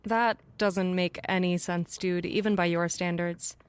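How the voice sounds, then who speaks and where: A woman's voice: lowish voice, steady tone, a little slow, clear, resonant tone, close to an everyday voice. A woman playing a forthright, logical scientist in an audio drama, casual and sardonic as she explains things. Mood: skeptical, sardonic. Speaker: actor.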